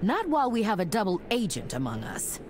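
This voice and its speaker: A woman's voice: mid-range voice, wildly swooping pitch, fairly quick, background noise. A woman voicing a stern, protective agent and instructor in a video game, dry, sardonic and authoritative. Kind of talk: animated video game acting.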